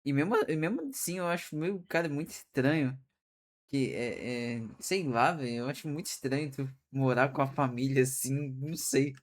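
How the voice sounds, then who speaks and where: A man's voice: medium pitch, moderate pace. Hesitant young man chatting casually. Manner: hesitant, restarts often, slangy. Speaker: streamer reacting to a video. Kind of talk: casual reaction chat.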